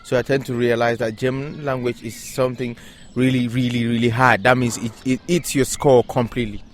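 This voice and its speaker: A young man with a Cameroonian accent answers questions casually in an average-pitched voice. He is outdoors, with faint ambient noise and birds behind him. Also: flat delivery, brisk. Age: young adult. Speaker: interviewee, German learner. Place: outdoors at a playground.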